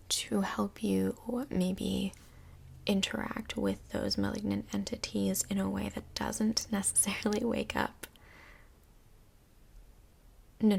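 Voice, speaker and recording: A woman acting as a calm female counsellor from Hell in a soft-spoken ASMR role play. Her voice is breathy and half-whispered, with heavy vocal fry. She picks her words gently and tactfully, pausing as she goes. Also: medium pitch, full character voice. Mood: gently coaxing. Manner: gentle, hesitant, diplomatic therapist talk.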